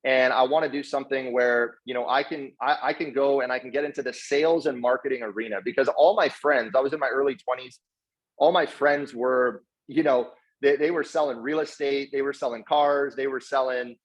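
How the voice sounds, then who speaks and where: A man's voice: mid-range voice, fairly even intonation, a little fast. A man giving an informal motivational talk in an earnest, slangy way, often doubling back on his words. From Canada, partly raised in South Africa. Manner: earnest, effusively grateful, slangy bro-talk with repeated words. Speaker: guest speaker, network marketer. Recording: dull, lo-fi online call audio.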